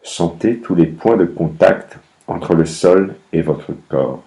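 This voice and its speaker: Male meditation guide, very deep pitch, mellow relaxed tone, calm, measured instructions, long pauses. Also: vocal fry, rough recording. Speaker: yoga nidra meditation guide.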